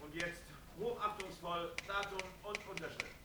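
An actor playing a cold, vindictive theatre director in a radio drama, speaking in a stiff, formal, condescending way. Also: male voice, high-pitched, fairly even intonation, normal speed, sharp tone, slight background noise. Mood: icy, dismissive. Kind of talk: German radio drama acting.

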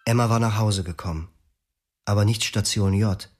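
Grave, low-voiced male narrator. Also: steady tone, moderate pace, faint music behind. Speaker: first-person narrator of a radio drama. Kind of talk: scripted first-person narration.

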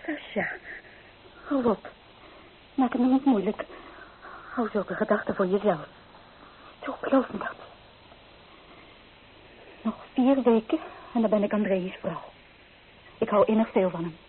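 Hissy radio play, actress as earnest young bride-to-be. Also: female voice, medium pitch, a little slow, pauses often, natural voice. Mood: earnest, resolute. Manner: earnest, gently reproving. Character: earnest, proper young bride-to-be, loyal and gently reproving. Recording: tinny, hissy old radio recording.

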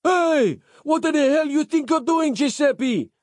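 A man's voice: very high voice, expressive, moderate pace, dark tone, dry recording, studio quality, pitched above the performer's own voice. A man voicing a brash, blustering street maverick, burned out and indignant, in an audiobook. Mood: outraged. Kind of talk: audiobook character voice.

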